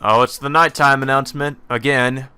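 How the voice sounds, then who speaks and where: Bright-voiced, sweary man doing gaming commentary. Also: mid-range voice, fairly even intonation, normal speed, clear, resonant tone. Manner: sweary, offhand. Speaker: let's play host. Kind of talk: casual gaming commentary reading a visual novel aloud. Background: faint game music.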